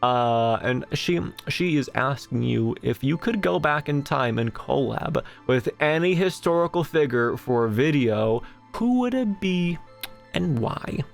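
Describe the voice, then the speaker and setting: A man interviewing a guest in a relaxed, casual podcast chat, laughing often, recorded on a home microphone. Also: mid-range voice, measured pace, gravelly, faint music behind.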